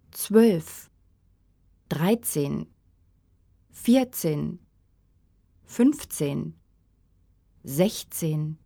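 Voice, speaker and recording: Woman, language-course reader, very slow, gravelly, dry close sound. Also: deep voice, dark tone, dry recording. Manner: careful, deliberate enunciation. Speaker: course audio speaker. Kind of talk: slow textbook reading.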